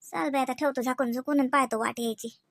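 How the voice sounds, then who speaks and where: A proud old village mother-in-law from a cartoon comedy, acted by a woman, grumbles in a Vidarbha Marathi accent. Her talk is earthy and full of rhetorical questions. The voice is bright and closely recorded, with hardly any room sound. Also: sits high, fairly even intonation, quick pace, gravelly, dry recording, exaggerated voice. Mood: weary grumbling. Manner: colloquial, complaining, rhetorical questions.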